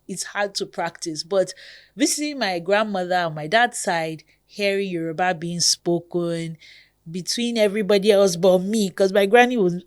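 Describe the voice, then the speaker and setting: A woman in her thirties from Nigeria with a Nigerian accent, hosting a podcast in a chatty, expressive way. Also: lowish voice. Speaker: podcast host. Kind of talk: casual podcast monologue.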